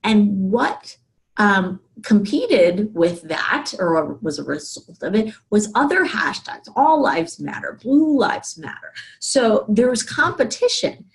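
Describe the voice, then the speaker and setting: A woman's voice: mid-range voice, very animated, slow, poor audio. A woman lecturing in a conversational, explanatory way, thinking aloud and often checking in with rhetorical questions. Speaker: university lecturer.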